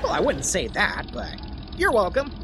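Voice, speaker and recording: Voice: mid-range voice, huge pitch swings, measured pace, music in the background. Personne jouant un réparateur franglais québécois, très expressif. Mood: friendly, casual. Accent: Quebec French. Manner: colloquial, franglais, slangy. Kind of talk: old-time radio comedy acting.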